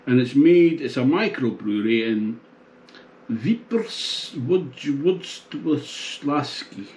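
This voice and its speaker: Slow-talking older man musing aloud. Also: mid-range voice, slight background noise. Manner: relaxed, musing, thinks aloud with pauses. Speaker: beer reviewer. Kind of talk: casual tasting review. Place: small home bar room, indoors.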